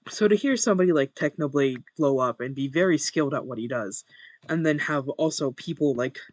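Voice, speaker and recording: A young man with a monotone voice, chatting casually while playing a game and muttering to himself over his first recording. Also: mid-range voice, brisk, dry recording. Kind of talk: casual gameplay commentary.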